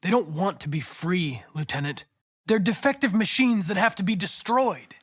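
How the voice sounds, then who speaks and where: A man with an American accent playing a polite, determined young android police investigator in a video game, speaking in a precise, formal, measured way. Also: high-pitched, lively intonation, fairly bright tone, husky, full character voice. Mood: formal, insistent. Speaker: actor voicing a video game character.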